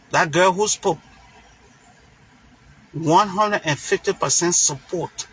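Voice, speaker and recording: Liberian guy doing fired-up political commentary, raspy and kinda high voice, hammers his points like a preacher. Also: pushed and bright, poor audio. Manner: emphatic, preacher-like, repeats phrases for stress. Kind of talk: impassioned monologue commentary.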